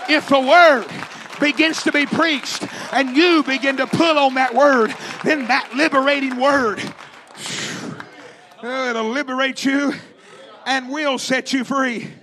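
A man's voice: extremely high pitch, fairly even intonation, slow pace, crowd noise, noisy background. A man preaching a fervent revivalist sermon, emphatic and repetitive, calling out to his congregation in rhythmic exhortation. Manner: calls out to the congregation.

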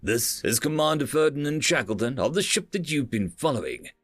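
A man's voice: mid-range voice, expressive, a little fast, dry recording, soft background music, pitched above the performer's own voice, natural voice. Man voicing a breezy, smug alien commander. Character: male alien ship commander, breezy, smug, booming over ship.

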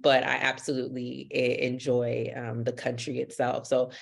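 Gravelly-voiced man speaking Creole first. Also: mid-range voice, completely flat delivery, moderate pace. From Miami, Florida, USA. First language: Haitian Creole. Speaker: guest. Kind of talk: podcast interview.

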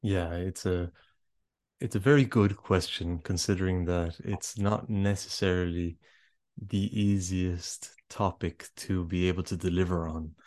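A man's voice: sits low, fairly even intonation, a little slow, a little mellow. A man being interviewed as a guest author and yoga teacher, answering in a thoughtful, conversational way. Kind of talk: podcast interview.

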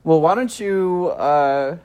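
Animated man chatting in bursts. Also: fairly high pitch, slow pace, clean tone. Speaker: podcast host. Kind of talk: casual chat. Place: garage. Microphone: phone used as recorder.

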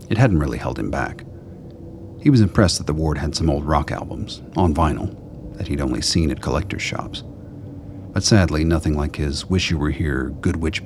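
A man with a very deep, gravelly voice reading scripted narration for a radio play. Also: darkish tone, a little hiss or hum.

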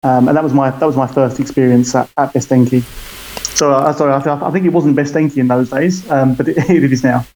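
A man's voice: medium pitch, steady tone, brisk, a little mellow, a little hiss or hum. Hesitant British man on a dull call line. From United Kingdom. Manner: hesitant, many restarts and ums. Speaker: guest, club supporter. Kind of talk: casual chat over a call. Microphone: call audio.

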